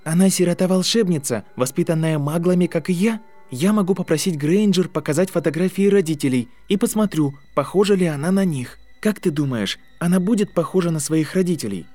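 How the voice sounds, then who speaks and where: A man's voice: fairly high pitch, dark tone, lightly acted. A man voicing an articulate, insistent boy wizard who reasons like a scientist, in an audiobook with music. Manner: reasoning, lecturing, intense.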